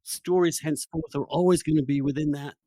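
A man's voice: a little high, fairly even intonation, a little fast, dark tone, no echo, clean studio sound. A man hosting a podcast, giving opinionated conversational commentary and often repeating and restarting his phrases. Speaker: podcast host.

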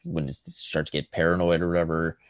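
A man co-hosting a podcast, reflecting casually and haltingly, circling back as he searches for the right words. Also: a bass voice, level tone, dry recording. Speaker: podcast co-host.

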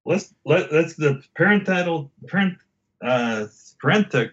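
A man in a casual album discussion as a podcast guest, speaking haltingly and often circling back to rephrase. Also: on the high side, lively intonation, a bit bright, lo-fi sound.

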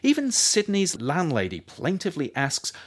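A man reading scripted nonfiction narration as an author would, in a measured way with expressive emphasis on key phrases. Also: fairly high pitch, slightly rough. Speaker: author reading their own book, narrator.

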